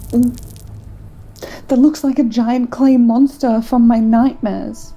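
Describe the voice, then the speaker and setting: An actress playing a plucky, sceptical young adventurer's companion in an audio drama, with a dry, frank, teasing manner. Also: female voice, fairly high pitch, fairly even intonation, slow pace, mellow. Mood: alarmed, frank. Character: plucky, sceptical Australian woman, the time traveller's companion.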